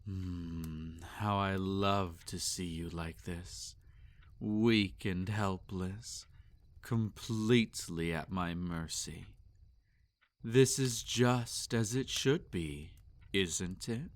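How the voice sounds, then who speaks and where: A man playing a possessive, sadistic vampire master in an intimate roleplay audio, teasing and domineering, often slipping into breathy whispers. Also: deep voice, extremely slow, dry recording. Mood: mocking, condescending.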